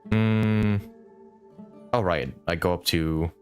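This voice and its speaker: A man's voice: deep voice, slow, faint music behind. A man doing casual live gameplay commentary, thinking aloud informally and repeating short phrases. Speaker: game livestreamer.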